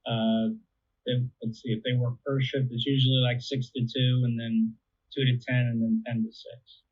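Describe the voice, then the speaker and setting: A man's voice: medium pitch, flat delivery, moderate pace, husky. Man on a tinny phone-like line. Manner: conversational, explains with everyday analogies. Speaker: interviewee explaining air traffic control. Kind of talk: casual explanatory interview talk.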